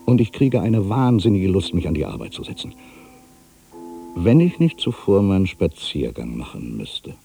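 Casting: aging writer, extremely low voice, brooding and theatrical, literary audio monologue over clearly present music. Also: male voice, very animated, moderate pace, exaggerated voice. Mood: exalted. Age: older. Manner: theatrical, introspective, swinging between hushed and emphatic delivery. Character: aging male writer, world-weary, anxious, brooding, given to grandiose fancies.

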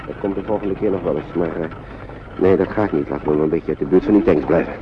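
A man's voice: deep voice, fast talker, natural voice. An actor playing an amiable, cautious older man who agrees hesitantly and repeats himself, in a radio drama. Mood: anxious, refusing. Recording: dull, noisy old radio play recording.

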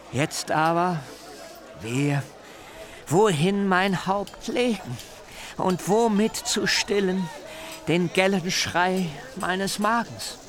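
Slow, theatrical lamenting wanderer Jacob. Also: male voice, a little high. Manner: theatrical, declamatory, drawn-out lamenting. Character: Jacob, boastful plaintive male wanderer telling his misfortunes. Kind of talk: literary radio drama acting.

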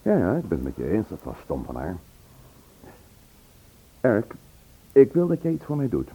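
A man acting in a Dutch radio play as a composed, probing gentleman investigator who speaks with measured care. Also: fairly low pitch, wildly swooping pitch, slightly rough, heavily acted. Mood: businesslike. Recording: muffled narrow-band old radio recording.

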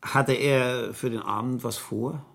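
Husky, probing police inspector. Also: male voice, medium pitch, steady tone, takes it slowly, a bit bright, exaggerated voice. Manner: hesitant, probing, tag questions. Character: probing, politely insistent male police inspector. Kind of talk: 1970s German radio crime drama acting.

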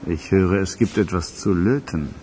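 An actor as a low, mellow, nosy skirt-chaser. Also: male voice, normal speed, lightly acted. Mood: eager, prying. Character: nosy, impatient skirt-chasing male guest. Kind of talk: German radio crime drama acting.

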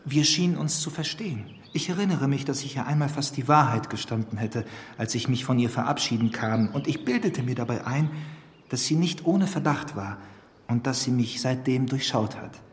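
Effusive young period gentleman, mid-pitched, standard German, ornate self-justifying delivery, long hall reverb, radio drama. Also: normal speed. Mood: earnest self-justification. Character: young, charming, effusive gentleman reading out his own letter. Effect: reverb marking a letter voice.

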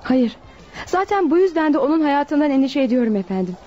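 A high, soft-toned female voice plays a worried young waitress. She speaks fast, respectful and earnest, in radio drama style. The recording is slightly dull. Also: very animated. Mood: anxious, defensive. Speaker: voice actor. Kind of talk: Turkish radio drama acting.

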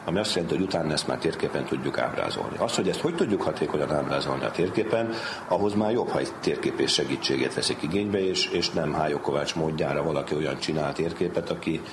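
A man's voice: a bass voice, expressive. A man speaking as an academic interviewee, explaining his field in conversational terms. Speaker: university department head being interviewed. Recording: noisy ambient background.

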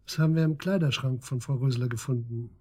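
An actor playing a persistent police detective questioning a suspect in a radio drama, matter-of-fact and probing. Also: male voice, mid-range voice, quick pace, warm tone, slight background noise, lightly acted. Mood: insistent, matter-of-fact. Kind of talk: naturalistic German radio drama acting.

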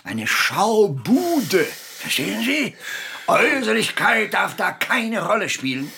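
An old puppeteer in a radio drama, grand and irascible. The voice is raspy and rather high for a man, swinging widely in pitch. He lectures slowly and theatrically, as if every remark were a pronouncement. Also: sing-song, sharp tone, exaggerated voice. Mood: scornful. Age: older. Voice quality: raspy, gravelly. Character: cantankerous old puppeteer, grandiose, vain, male. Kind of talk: radio play acting.

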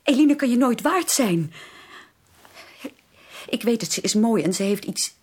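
An actress in a Dutch radio drama playing an earnest, anxious young sister who pleads haltingly and emphatically. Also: female voice, fairly high pitch, lively intonation, husky. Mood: vehement, pleading. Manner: halting, emphatic, repeats words for stress.

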